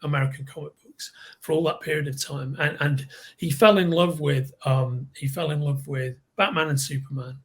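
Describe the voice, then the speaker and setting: A man in his fifties from with a slightly raspy voice, a podcast guest who rambles and repeats words while he recalls. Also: on the high side, steady tone, normal speed. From Liverpool, England. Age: 50s. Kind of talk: casual podcast chat.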